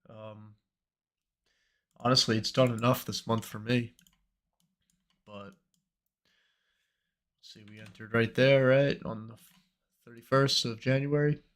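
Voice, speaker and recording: A young man with a cold, slightly hoarse, chatting in a relaxed, rambling way as host of a trading livestream. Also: mid-range voice, normal speed, long pauses. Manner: relaxed, rambling, many fillers. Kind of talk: casual livestream chat.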